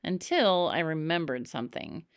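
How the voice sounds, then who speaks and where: A woman reading a children's story aloud in an expressive narrating style, with emphatic, dramatic phrasing. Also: deep voice, normal speed, no echo, studio quality. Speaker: storybook reader.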